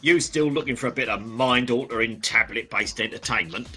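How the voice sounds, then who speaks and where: An actor playing a gruff, crude, cynical male police detective, talking in a dry, sarcastic way. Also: medium pitch, brisk, bright, forceful tone, faint music behind. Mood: sneering.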